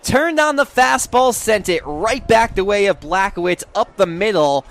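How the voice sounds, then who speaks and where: A man's voice: sits high, animated, moderate pace, a bit bright. A young man calling a game live as a sports play-by-play announcer. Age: young adult.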